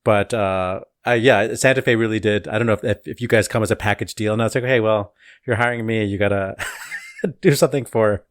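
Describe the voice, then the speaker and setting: A man's voice: fairly low pitch, fairly even intonation, a little fast, no room sound, studio quality. A man hosting an interview, asking long, rambling, enthusiastic questions in a casual chat. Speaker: podcast host.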